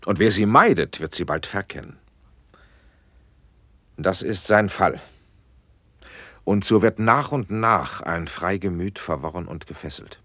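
Impatient prince, husky low voice, dry sound, stately verse declamation, classic radio play. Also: male voice, unhurried, long pauses, fairly bright tone, dry recording. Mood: grave, critical. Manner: stately, elevated, rhetorical delivery. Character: impatient, commanding Renaissance prince, a brother.

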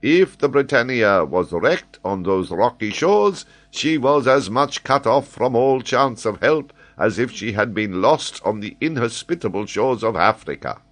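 A man voicing an excitable, learned French geographer, speaking eagerly and emphatically as he explains. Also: mid-range voice, measured pace, few pauses, pitched above the performer's own voice. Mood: lecturing. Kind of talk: audiobook character voice.

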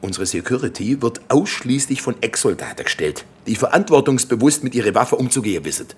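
An actor playing a smooth, evasive, proud and coldly businesslike slaughterhouse manager in a radio drama. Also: male voice, mid-range voice, animated, fast talker. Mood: evasive, defensive. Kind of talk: naturalistic radio drama acting.

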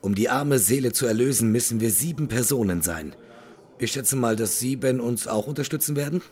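A man playing a grave, courteous older gentleman haunted by tragedy, speaking formally and with measure in a German audio drama. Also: mid-range voice, a little fast, close to an everyday voice. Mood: resolute.

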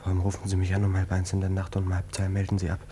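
A man playing a hurried, anxious young lieutenant. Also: sits low, monotone, dark tone, close to an everyday voice. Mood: anxious, tense. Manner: urgent, clipped, insistent. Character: young army lieutenant, agitated, anxious, impatient. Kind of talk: radio drama acting. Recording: noticeable background noise and hum.

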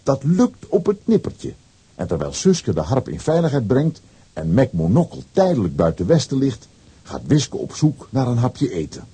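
A man's voice: medium pitch, huge pitch swings, moderate pace, warm tone, breathy edge. A man narrating a scripted children's audio drama as a dramatic storyteller.

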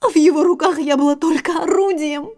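An actress playing a battered, betrayed wife in an audio drama, husky and breathy, halting and anguished. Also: female voice, sits high, darkish tone. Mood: anguished, bitter. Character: battered, betrayed wife, weak, wounded and bitter. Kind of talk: emotional audio-drama acting. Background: music.

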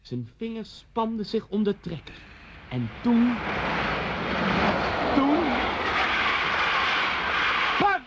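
A man's voice: very high-pitched, huge pitch swings, extremely slow, pauses often, muffled recording, very noisy, exaggerated voice. An actor in a radio thriller playing a man in his thirties, a bitter former pupil who taunts his old teacher with theatrical menace. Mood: dramatic relish. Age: 30s. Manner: taunting, theatrical, swinging from soft to explosive.

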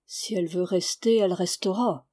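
Woman reading as a sad, husky old village elder. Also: mid-range voice, moderate pace, dark tone, lightly acted. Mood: sad, firm. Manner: regretful, firm. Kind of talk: dramatic audiobook character reading.

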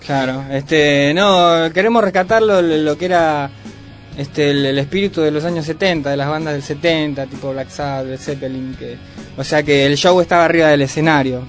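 A man, a band's bassist, talking haltingly as a radio guest with many fillers. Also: soft background music. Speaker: band bassist and singer, guest. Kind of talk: casual radio interview. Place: radio studio.

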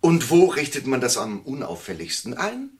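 An actor playing an excitable, grumbling sidekick in a German radio drama caper. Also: male voice, mid-range voice, moderate pace. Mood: eager.

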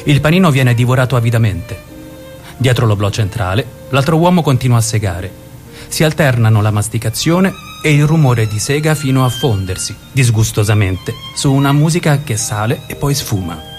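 A man's voice: mid-range voice, expressive, moderate pace, a little mellow. Male stage-direction narrator over violin music. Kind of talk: scripted narration of a scene.

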